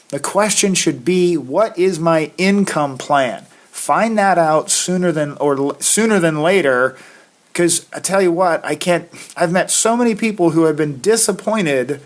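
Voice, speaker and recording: A middle-aged man from Maine hosting a solo podcast, his voice raspy from a cold, talking in a folksy, conversational way with plenty of filler. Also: a little high. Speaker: podcast host, financial planner. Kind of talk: casual solo podcast talk.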